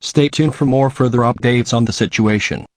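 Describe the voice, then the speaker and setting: A man's voice: mid-range voice, normal speed, no echo, close to an everyday voice. A man playing a television news anchor reading scripted breaking news updates. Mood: formal. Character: male TV news anchor giving emergency updates. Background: loud music bed.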